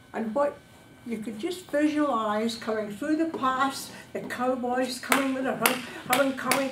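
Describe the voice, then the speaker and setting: Echoey, distant woman giving a slow speech. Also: fairly high pitch. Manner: dramatic, emphatic delivery in short phrases with pauses. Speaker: club speaker giving a prepared speech. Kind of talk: public speaking, descriptive travel storytelling. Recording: distant, echoey room recording with background noise.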